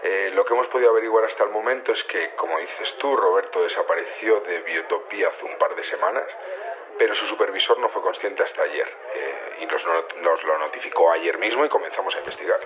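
Casual, nonchalant man in charge of security, lowish pitch, phoning in to an audio-fiction bulletin over a narrow line. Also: fairly quick, a crowd in the background. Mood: casual, matter-of-fact. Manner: hesitant, colloquial, nonchalant. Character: casual, unbothered male security chief phoning a report. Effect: telephone filter. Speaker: head of security. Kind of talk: phone interview in a radio drama. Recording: thin, narrow phone line.

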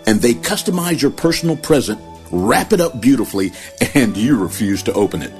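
A male announcer reading a scripted introduction to a sermon broadcast in a smooth, inviting promo delivery. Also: medium pitch, animated, fairly quick, a little mellow. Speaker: program announcer. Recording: voice over background music.

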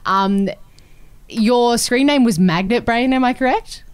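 A woman's voice: fairly high pitch, unhurried, smooth. A woman hosting a sports podcast, asking curious, friendly interview questions. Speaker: podcast host.

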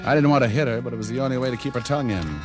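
A tough, deadpan private eye played by a male actor in a 1940s radio drama. He is cynical and full of slangy wisecracks, with a middling pitch. Music plays behind him on a vintage recording. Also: racing, lightly acted. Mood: tense narrating. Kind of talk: 1940s radio acting, first-person noir narration.

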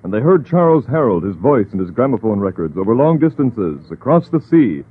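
A man's voice: mid-range voice, big pitch swings, brisk, slight background noise. A man announcing from a script in a formal, declamatory 1940s radio style. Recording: tinny 1940s radio broadcast.

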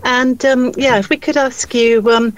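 A woman's voice: high-pitched, steady tone, a little slow, no echo, rough recording. A woman from London with an English accent, a voice actor in casual conversation. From London, England. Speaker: voice actor and audiobook narrator.

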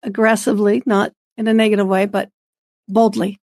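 Creaky-voiced woman in her fifties, earnest. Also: mid-range voice, little pitch movement, fairly quick, a little mellow, no echo, clean studio sound. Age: 50s. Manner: earnest, devout. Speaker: guest, author and homeschooling mother. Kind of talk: conversational personal testimony.

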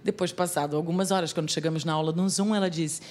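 A woman with a Brazilian accent worn smooth by years in Portugal talks with a lively storyteller's energy. Her voice sits somewhat low for a woman, and she speaks as a guest in a relaxed interview. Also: fast. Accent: Brazilian Portuguese, softened by life in Portugal. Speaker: theatre artist guest. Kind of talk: conversational storytelling.

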